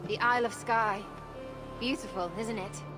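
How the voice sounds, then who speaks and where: An actress playing a friendly, worried village guide in a video game, speaking earnestly and plainly. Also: female voice, fairly high pitch, fairly even intonation, moderate pace, over music, lightly acted. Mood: friendly guiding. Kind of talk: dramatic video game cutscene acting.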